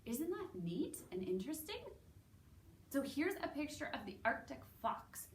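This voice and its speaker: A woman with a Canadian accent presenting to young children as a story time host, in an animated way with simple, drawn-out wording. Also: sits high. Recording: roomy, distant mic with background noise.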